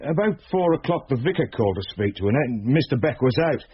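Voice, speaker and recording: Flustered junior policeman, very lively pitch. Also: fast talker, slightly rough. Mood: hesitant, apologetic. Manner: hesitant, self-justifying. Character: flustered, defensive junior policeman guarding a prisoner. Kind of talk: British radio drama dialogue. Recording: dull, lo-fi radio recording.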